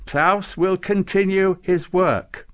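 A man's voice: sits high, fairly even intonation, slow, a bit bright, clean tone, pitched above the performer's own voice. A man voicing a solemn, kindly storybook saint who makes formal pronouncements. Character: solemn, kindly male saint presiding over council. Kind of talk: storybook character voice.

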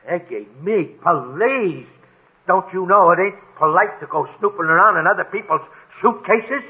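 Sly, slangy uncle, animated. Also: male voice, high-pitched, moderate pace. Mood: sly, casual. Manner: slangy, uneducated grammar, sly. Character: shady, folksy freeloading uncle. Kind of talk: old-time radio situation comedy acting. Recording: tinny, narrow-band old radio recording.

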